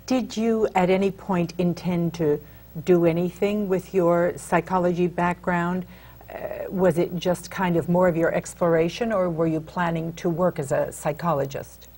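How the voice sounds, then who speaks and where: A woman's voice: fairly low pitch, fairly even intonation, moderate pace, dark tone. A woman hosting a TV interview, asking her guest follow-up questions in an engaged, encouraging way. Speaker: TV interview host. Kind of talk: hosted interview.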